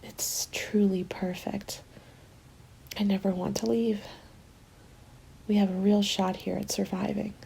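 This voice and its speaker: Soft, mellow woman acting a hopeful apocalypse survivor. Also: mid-range voice, flat delivery, a little slow, gravelly, pauses often, slight background noise, low-quality recording. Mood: hopeful, excited. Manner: soft-spoken, often slipping into whispers. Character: hopeful female zombie apocalypse survivor in a winter colony. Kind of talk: ASMR roleplay, intimate storytelling. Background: background music.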